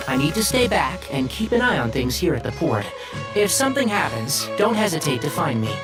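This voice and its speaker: Casting: actor as a level-headed, helpful official in a fantasy game, explanatory yet very lively in intonation, over loud music. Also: medium pitch, a little fast, lightly acted. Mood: informative. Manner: matter-of-fact, explanatory. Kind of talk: anime game story dialogue.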